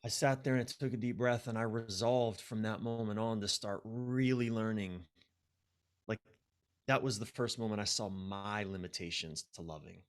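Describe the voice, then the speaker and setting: A man's voice: on the low side, steady tone, normal speed. A man speaking as a podcast guest, telling personal stories candidly and self-deprecatingly, acting out his inner dialogue. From United States. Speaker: podcast guest, relationship coach. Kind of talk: personal storytelling in a conversational interview.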